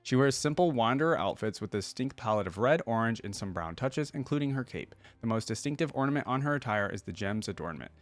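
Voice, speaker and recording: A man hosting a reaction video, reading text aloud and thinking out loud in casual asides between passages. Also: mid-range voice, lively intonation, fast talker, few pauses, no room sound.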